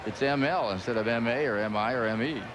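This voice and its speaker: A man's voice: medium pitch, fast talker. An American man calling baseball play-by-play in a folksy, conversational way with clipped calls. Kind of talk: radio play-by-play commentary. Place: ballpark broadcast booth. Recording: noisy broadcast over loud ballpark crowd. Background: loud crowd and stadium ambience.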